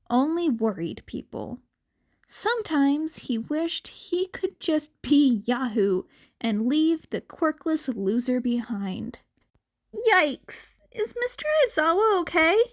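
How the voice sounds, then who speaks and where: High-voiced woman narrating a story. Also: darkish tone. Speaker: fan fiction narrator. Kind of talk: expressive scripted story narration.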